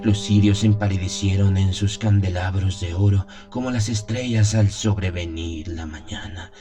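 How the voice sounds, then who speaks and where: A man playing a devout priest who solemnly recalls his innocent youth in a gothic radio drama, in measured, literary phrases. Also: low-pitched, fairly even intonation, mellow, natural voice. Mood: solemn, fervent. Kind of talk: dramatized first-person gothic story narration. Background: music.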